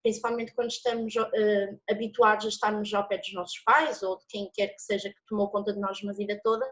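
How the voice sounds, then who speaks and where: A woman in her twenties with a Portuguese accent gives an expressive, encouraging personal testimony as a student speaker. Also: mid-range voice, a little fast. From born in São Paulo, Brazil, living in Portugal since age nine. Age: 20s. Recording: dull lo-fi audio.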